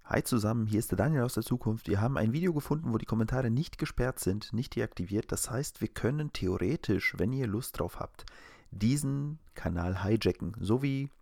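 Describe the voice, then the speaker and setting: A man's voice: on the low side, animated, fairly quick, vocal fry, a little mellow, no echo, studio quality. A man with a German accent talking as a podcast co-host in a casual, colloquial, exclamatory way.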